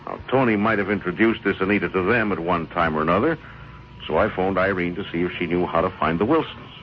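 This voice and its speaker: An actor playing a steady, dependable friend in an old-time radio crime drama, measured, placating and a little evasive. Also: male voice, sits low, lightly acted. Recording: muffled, hissy old radio recording.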